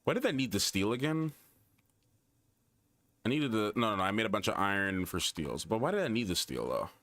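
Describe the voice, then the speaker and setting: A man's voice: lowish voice, sing-song, fairly quick, fairly bright tone, dry recording. A young man with a Guyanese American accent, chatting casually and thinking aloud while he plays a game. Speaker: gaming streamer. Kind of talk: casual gameplay commentary.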